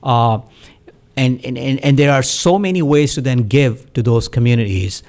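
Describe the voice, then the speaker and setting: A man from Pakistan speaks as a studio talk-show guest with a gravelly voice at a middle pitch. He talks in a measured, reflective way, pausing on fillers as he works through his thoughts. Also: a little mellow. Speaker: guest, community activist. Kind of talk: earnest talk-show conversation.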